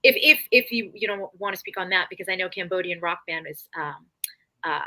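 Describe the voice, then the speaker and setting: A woman's voice: fairly low pitch, brisk, pushed and bright. A woman guest-hosting a casual chat, effusive and rambling with lots of fillers. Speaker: guest host. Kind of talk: casual conversation.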